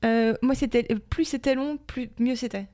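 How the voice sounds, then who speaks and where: A woman talking casually as a podcast guest, animated and playfully self-mocking. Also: a little high, moderate pace. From Paris, France. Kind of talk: casual comedic chat.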